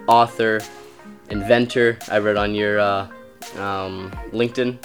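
A man's voice: fairly low pitch, steady tone, unhurried, soft background music. Casual young-sounding male podcast host. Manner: loose, informal phrasing. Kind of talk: casual interview.

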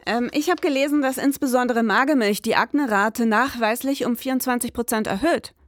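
A woman's voice: on the high side, fairly quick, no room sound, studio quality. A woman hosting an investigative podcast, speaking as a narrator and investigator.

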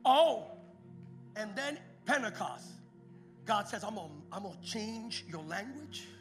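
A man preaching a Pentecost sermon as pastor, moving from quiet, conversational storytelling to loud, shouted exhortation. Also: sits high, sing-song, measured pace. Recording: music playing behind the preaching.

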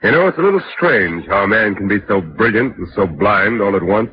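An actor playing a lovelorn, wounded chauffeur in 1940s radio drama, earnest and deferential. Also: male voice, on the low side, expressive, a little fast, a little hiss or hum, natural voice. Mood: wounded, bitter. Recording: dull vintage radio recording, faint music.

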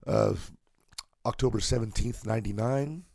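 A man co-hosting a casual wrestling podcast, hoarse today, relaxed as he works through a list of dates. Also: medium pitch, moderate pace, dry recording, studio quality. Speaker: podcast co-host.

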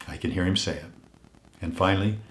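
An older man hosting a weekly motivational message, earnest and unhurried, his voice a little hoarse as if he is losing it, often dropping to a hush on heartfelt points. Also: deep voice, roomy sound. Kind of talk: reflective motivational talk.

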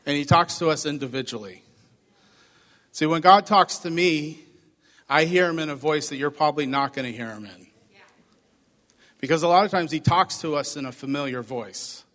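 Hoarse pastor guy, upbeat and chatty, talking to the congregation in a church with long echo. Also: a little high, moderate pace, pauses often, fairly bright tone. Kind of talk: church announcements and sermon. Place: church sanctuary. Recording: long reverb of a church hall.

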